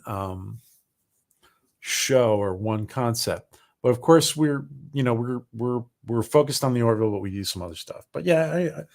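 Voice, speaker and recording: A man hosting a casual livestream, rambling with many ums and restarts as his voice starts to go hoarse. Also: mid-range voice. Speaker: livestream host. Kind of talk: casual livestream chat reading viewer comments.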